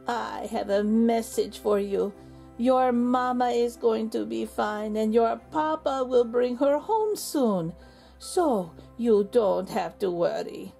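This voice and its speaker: Wise old woman, voiced by a female reader, kindly, reassuring, slow, storybook, background music. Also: on the high side, expressive, gravelly, mellow, heavily acted. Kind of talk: children's storybook read-aloud.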